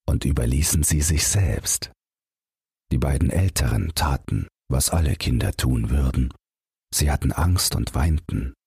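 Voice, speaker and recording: An audiobook man tells a bedtime fairy tale. His voice is extremely low and very husky, and he takes his time in a close, dry sound. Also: a little mellow, dry recording. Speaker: audiobook narrator.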